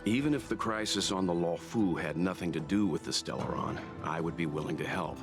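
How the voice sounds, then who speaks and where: A deep, earnest crew leader. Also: male voice, gravelly. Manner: earnest, deliberate. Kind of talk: video game voice acting. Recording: game audio over music.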